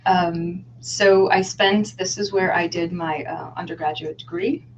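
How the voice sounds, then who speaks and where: A woman, a guest scholar in an interview, speaking thoughtfully and pausing to find her words. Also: fairly low pitch, little pitch movement, a little hiss or hum. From Israel. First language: Hebrew. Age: 30s. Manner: thoughtful, reflective, pauses to search for words. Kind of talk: casual interview. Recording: lo-fi online video call.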